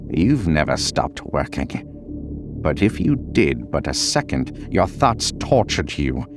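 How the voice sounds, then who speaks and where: Man as a very deep, grave, condescending Count. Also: very animated, slow, music in the background, exaggerated voice. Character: cryptic, disdainful male Count, an ancient guide delivering hard truths. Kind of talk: dramatic audio fiction.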